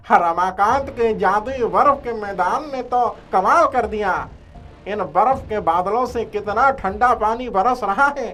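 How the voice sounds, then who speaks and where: Man voicing a high-pitched, earnest villager. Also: fairly bright tone. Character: high-voiced villager, pleading and admiring. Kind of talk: cartoon moral-story acting. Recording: music behind the voice.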